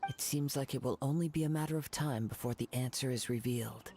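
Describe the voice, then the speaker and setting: A calm young wandering samurai, voiced by an actor, speaking in measured, reflective phrases. His voice carries a gravelly edge, and there is faint noise behind him. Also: medium pitch, natural voice.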